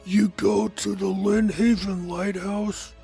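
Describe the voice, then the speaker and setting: Man acting out a slurring, battered young guy, higher-pitched. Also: little pitch movement, gravelly, dark tone, husky, soft background music, full character voice. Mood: pained, strained. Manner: slurred, labored, halting speech. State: struggling to speak, injured. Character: badly beaten young man mumbling through swollen lips. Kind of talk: audiobook character dialogue.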